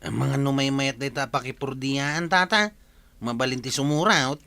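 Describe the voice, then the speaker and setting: An actor playing a hard-up, eager bachelor in a Filipino radio drama in Ilocano, plaintive and full of questions. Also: male voice, mid-range voice, moderate pace, vocal fry, sharp tone. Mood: curious, eager.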